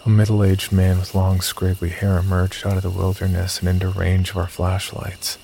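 A man's voice: sits low, vocal fry, a little mellow, a little hiss or hum. A man playing a wry, sardonic young narrator who tells a horror story in the first person. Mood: wry, uneasy.

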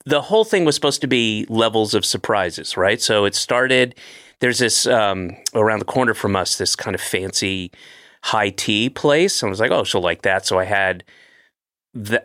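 A man's voice: lowish voice, lively intonation, unhurried, fairly bright tone, dry recording, clean studio sound. A man in his late fifties hosting a podcast, chatting casually and rambling with self-deprecating asides and restarts. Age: 50s. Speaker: podcast host. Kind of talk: casual chat.

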